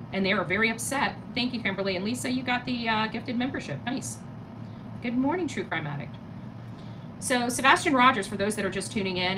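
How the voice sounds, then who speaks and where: A middle-aged American woman giving casual livestream commentary and chatting with viewers in an emphatic, indignant, repetitive way. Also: medium pitch. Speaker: live stream host. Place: small living room, indoors. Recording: clearly noisy home phone recording.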